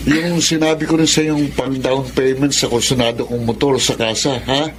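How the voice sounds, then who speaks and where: A man's voice: medium pitch, steady tone, moderate pace, a little hiss or hum, close to an everyday voice. A man acting a breezy, freeloading young brother-in-law in a Filipino drama, slangy and offhand. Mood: chummy.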